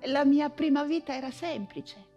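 An actress playing an imprisoned, nostalgic Russian exile writer in an intense, halting theatrical monologue, repeating words for emphasis. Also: female voice, sits high, dark tone, dull audio, lightly acted. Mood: wistful, remembering. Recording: music under the voice. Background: music.

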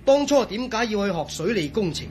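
A man's voice: high-pitched, very animated, a little fast, a little mellow, a little hiss or hum. An actor with a Hong Kong Cantonese accent playing a chatty, good-humored male engineer in a radio drama, joking as he talks. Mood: chatty, teasing. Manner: chatty, joking, peppers talk with chuckles and sighs.

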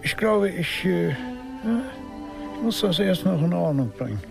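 An actor playing a genial, sheepish government agent in a German radio play, talking in a conversational, hedging way. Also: male voice, high-pitched, lively intonation, measured pace, vocal fry, over music, full character voice.

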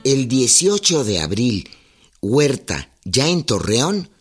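A man's voice: medium pitch, very animated, slow, slightly rough, soft background music. A man narrating a scripted historical radio drama.

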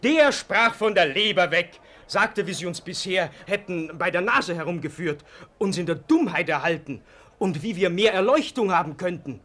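A man's voice: high-pitched, animated, moderate pace, sharp tone, heavily acted. An actor playing a hearty, outspoken townsman, emphatic and blunt, in a 1940s German radio play. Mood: enthusiastic. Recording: vintage 1949 radio drama recording.

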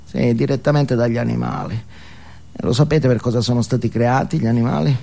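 Actor as an unhinged, gravelly man ranting. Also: medium pitch, steady tone, brisk, natural voice. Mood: menacing, building. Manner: intense, volatile, emphatic, swinging from muttering to yelling. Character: unhinged, foul-mouthed, alienated man ranting about himself. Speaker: stage actor. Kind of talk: theatrical comic monologue.

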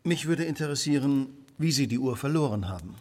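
An actor playing a keen, determined Victorian gentleman detective in a radio play, with precise, polite diction. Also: mid-range voice, lively intonation, a little fast, natural voice. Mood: politely probing.

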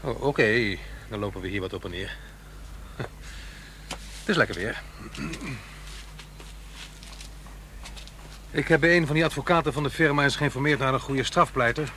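An actor playing a troubled, reproachful divorced father in middle age, halting and earnest, in a Dutch radio play. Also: mid-range voice, sharp tone, a little hiss or hum, close to an everyday voice. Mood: awkwardly businesslike. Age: middle-aged. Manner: halting, earnest, self-questioning.

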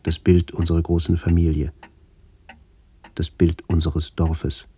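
An actor playing a melancholy man recalling his family and lost village in a measured, reflective radio play narration. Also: deep voice, monotone, dark tone, slightly rough. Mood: elegiac, reflective.

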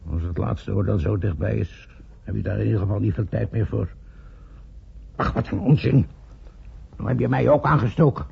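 An actor playing a dry, ironic husband of nearly seventy in a radio play, his voice husky and creaky. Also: fairly low pitch, moderate pace, pauses often, full character voice. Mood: irritated, nervous. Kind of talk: radio drama acting. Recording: narrow, phone-like old radio recording.

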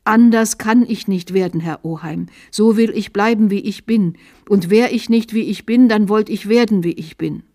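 A woman's voice: lowish voice, steady tone, mellow, dry recording, clean studio sound, natural voice. A woman voicing a timid, earnest young nobleman with a proud streak, in a storybook reading. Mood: proud, defiant. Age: young adult. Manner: shy, earnest, halting. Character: timid, bewildered young nobleman with awakening pride.

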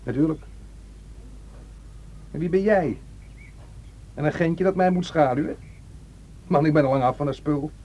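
A man's voice: fairly high pitch, normal speed, pauses often, dull audio, natural voice. An actor playing a surly, defiant young man in a radio drama, curt and sneering. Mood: defensive, sneering.

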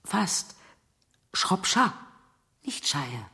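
A woman's voice: medium pitch, very animated, very slow, vocal fry, pushed and bright, full character voice. An actress playing a wary, frightened woman agent held by the Soviets, speaking in a hesitant, guarded way in a German radio drama. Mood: earnest, guarded.

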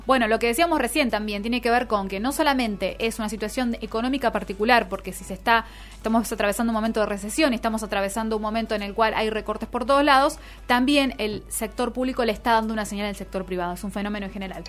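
A woman's voice: a little high, fast talker, soft background music. A woman with an Argentine accent hosting a radio programme in a conversational style. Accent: Argentine Spanish. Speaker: radio host. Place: radio studio.

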